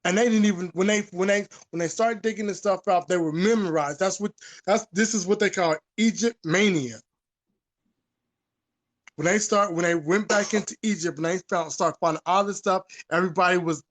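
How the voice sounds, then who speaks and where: A man with a high-pitched voice talks in a casual, unscripted discussion. He is emphatic and informal, often repeating his phrases and using some salty language. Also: moderate pace.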